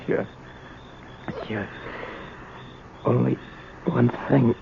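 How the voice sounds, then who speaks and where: A man playing a weak, dying old ex-convict father in a radio drama, slangy, halting, gruff and remorseful. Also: medium pitch, level tone, full character voice. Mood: fading, tender. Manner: slangy, rough-spoken, halting. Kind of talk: 1970s radio drama acting. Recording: tinny, noisy old radio broadcast.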